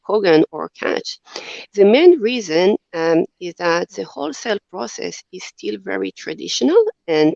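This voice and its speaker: An Israeli-accented woman in her forties speaks earnestly as a guest on a business podcast, often stopping on fillers and restarting. Her voice carries a gravelly fry. The sound is dry and dull, like a lo-fi remote recording. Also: mid-range voice, a little mellow, no echo. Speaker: company CEO, guest. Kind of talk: podcast interview.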